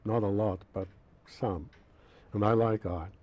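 A man's voice: lowish voice, fairly even intonation, unhurried, darkish tone. An older man from the former Yugoslavia, German his first language, answering interview questions in a dry, precise, witty way. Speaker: guest critic. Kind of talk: studio interview. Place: dark TV studio set. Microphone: lapel mic.

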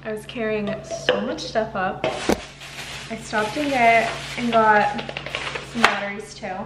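A woman's voice: fairly high pitch, fairly even intonation, measured pace, very noisy. Chatty young woman vlogging to camera. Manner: chatty, thinking out loud, filler "like". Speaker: lifestyle vlogger. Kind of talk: casual vlog chat to camera. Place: apartment balcony, car and kitchen.

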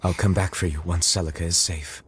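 An actor playing a calm, protective male mentor in a game, gentle and solemn, with a husky, breathy voice. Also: deep voice, a little fast, background noise, lightly acted. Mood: reassuring, solemn. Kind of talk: dramatic video game acting.

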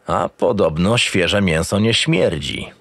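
An actor playing a gruff, cynical and experienced homicide detective in an audio drama, curt and impatient. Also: male voice, sits low, lively intonation, slow pace, fairly bright tone, dry recording, heavily acted. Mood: grim narration. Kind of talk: audio drama acting with noir narration.

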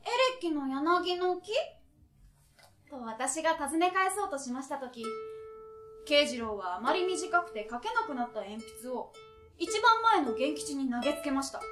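A young woman recites a story on stage in a theatrical, deliberate way. Her voice is high-pitched and heard from some distance in a roomy space. Plucked harp music plays behind her. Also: very animated. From Tokyo, Japan. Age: 20s. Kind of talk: expressive literary recitation. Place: small indoor stage. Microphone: far camera.

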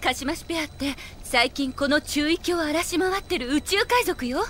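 A woman's voice: high voice, moderate pace, fairly bright tone, slight background noise. A woman playing a motherly young anime character who scolds, in an audio drama. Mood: earnest.

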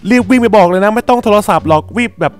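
A man with a high voice performs improvised game roleplay. He talks colloquially and exclaims often, with music running behind him. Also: very fast, clear, resonant tone. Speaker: creator voicing characters in a Minecraft roleplay video.

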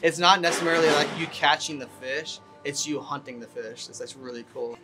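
A young man talking casually about fishing in an interview, relaxed and full of fillers, sitting on an outdoor balcony. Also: on the high side, a little fast. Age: young adult. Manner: relaxed, many fillers, gets excited. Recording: guitar music underneath.

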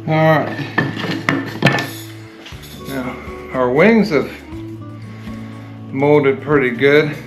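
A retired man in his sixties talks through a workshop project step by step, in a plain, unhurried voice at an ordinary middle pitch. His voice echoes around a garage, and guitar music plays clearly behind him. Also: fairly even intonation. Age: 60s. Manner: plain, unhurried step-by-step explaining while working. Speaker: DIY workshop host. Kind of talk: hands-on how-to demonstration.

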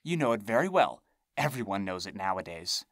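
A man's voice: lowish voice, very animated, fairly quick, a bit bright, dry recording, studio quality. A man voicing a rotting, ghoulish woman who rambles in a folksy, whiny, needling way. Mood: indignant.

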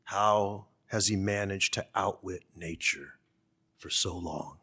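A man in his sixties from California's Central Valley, an author speaking and reading to the public with measured, deliberate phrasing. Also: deep voice, pushed and bright, breathy edge. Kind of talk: public talk and literary reading. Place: conference room. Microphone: gooseneck podium microphone.

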